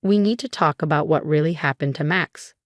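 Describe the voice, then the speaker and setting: A woman narrator plays a troubled husband in a dramatic audio story. He speaks in a subdued, steady way, at a lowish pitch for a female reader, recorded dry. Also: fairly quick, no room sound, close to an everyday voice. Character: troubled husband, torn son of a scheming mother.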